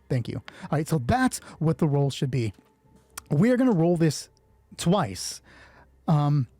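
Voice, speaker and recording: A game master running a solo tabletop roleplay, thinking aloud and narrating in a sweary, excitable way. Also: medium pitch, no echo.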